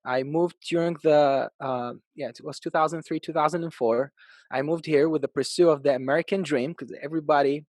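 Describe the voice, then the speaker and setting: A man in his thirties from Iraq, a podcast guest telling his life story in an upbeat, animated way. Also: a little high, a little fast, dry recording. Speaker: podcast guest, real estate agent. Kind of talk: casual interview storytelling.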